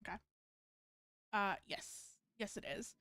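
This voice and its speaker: A woman's voice: slow pace, sharp tone, dry recording, clean studio sound. A woman giving casual live-stream commentary on a game, rambling and thinking aloud as she plays.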